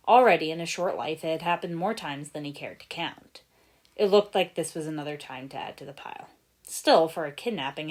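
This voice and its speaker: A woman reading a fan fiction story aloud as an expressive scripted narration. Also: low-pitched.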